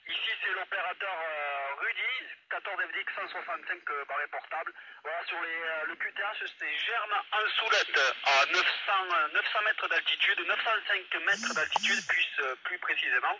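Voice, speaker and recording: Clear background noise surrounds a man speaking over two-way radio. His voice is high for a man, and he talks in the halting, repetitive way of a ham operator passing on details. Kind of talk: amateur radio contact chat. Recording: noisy two-way radio audio.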